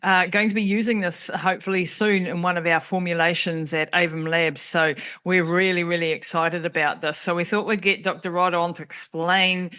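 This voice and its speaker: A woman's voice: fairly low pitch, fairly even intonation, normal speed, hardly any pauses, fairly bright tone, clean tone. A woman hosting an interview, chatty and enthusiastic, often laughing as she builds her questions. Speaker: podcast host.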